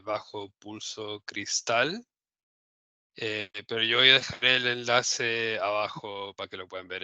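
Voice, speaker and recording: A man in his forties hosting a podcast interview, unhurried with hesitant fillers. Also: lowish voice, bright, forceful tone, no echo. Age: 40s. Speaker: podcast host. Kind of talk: interviewing a guest. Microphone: video call.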